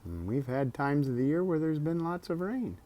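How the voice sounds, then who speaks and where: A man reading a children's picture book aloud expressively, drawing out words, pausing and adding casual asides. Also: fairly high pitch, lo-fi sound. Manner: expressive, with drawn-out words and pauses. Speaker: storybook reader.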